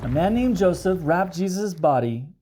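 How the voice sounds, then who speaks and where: A man reading a storybook aloud for children in an explanatory way, pausing to question his young listeners. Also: high voice, animated, mellow, slight background noise. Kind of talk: expressive children's read-aloud with asides.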